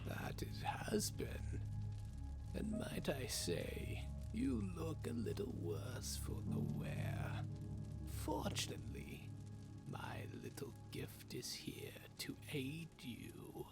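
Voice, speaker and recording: A woman voicing a sly creature of the night in a fantasy audio roleplay, theatrical and mockingly reverent, dropping into breathy tones. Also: very deep voice, huge pitch swings, takes it slowly, in a whisper, loud music behind the voice, heavily acted. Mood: sly, silky.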